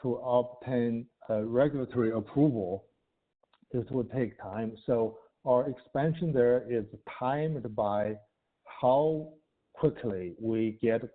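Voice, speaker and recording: A man answering questions as a company executive on an earnings call, speaking haltingly and hesitantly in short broken phrases. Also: mid-range voice. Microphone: conference call line. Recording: tinny, narrow phone line.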